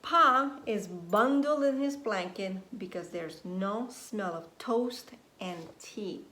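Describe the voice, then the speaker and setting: A woman nursery teacher reading a story aloud to young children in an expressive, deliberate way, prompting them with questions. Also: medium pitch. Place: indoors at home. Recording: roomy echo, faint background noise, home recording.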